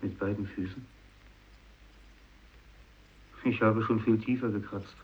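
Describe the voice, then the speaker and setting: A man playing a dying, haunted Japanese fisherman in a radio play monologue, deliberate and insistently addressing his listener. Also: lowish voice, steady tone, natural voice. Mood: bitter, brooding. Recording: dull vintage radio recording.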